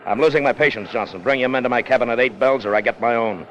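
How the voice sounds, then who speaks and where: A man's voice: mid-range voice, quick pace, a bit bright, highs cut off, lightly acted. An American man acting a hard, greedy, commanding ship's officer in a 1950s radio drama, clipped and intense. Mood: impatient, commanding. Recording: noisy vintage 1950 radio recording.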